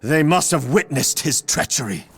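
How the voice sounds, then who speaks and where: High, gravelly noble commander. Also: male voice, lively intonation, moderate pace, background noise. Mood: urgent command. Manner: proud, commanding declamation. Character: righteous, vengeful young army commander of noble blood. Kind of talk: video game cutscene acting. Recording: loud music behind the voice.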